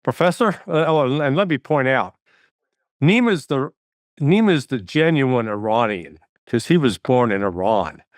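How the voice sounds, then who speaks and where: A man's voice: medium pitch, animated, a little slow, no echo, clean studio sound. An older man hosting an interview show, talking casually with rambling, stumbling restarts. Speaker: show host.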